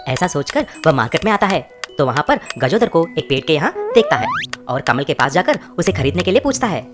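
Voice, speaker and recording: A woman narrating a children's cartoon tale. Her voice sits low but moves lively through the story. Clear background music plays throughout. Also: brisk. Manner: expressive storytelling. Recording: music bed behind.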